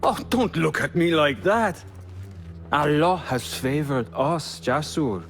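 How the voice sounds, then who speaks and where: A man's voice: a little high, animated, takes it slowly, fairly bright tone, exaggerated voice. An actor playing a smug, cruel male official who speaks in a sly, taunting way. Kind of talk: video game cutscene acting. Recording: game audio with music.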